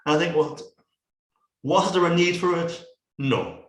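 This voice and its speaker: A man's voice: a little high, slow, reverberant. A Dutch man with a Dutch accent, chatting casually about films and stumbling over words, repeating himself as he restarts his sentences. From Netherlands. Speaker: co-host of a movie review show. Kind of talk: casual film chat.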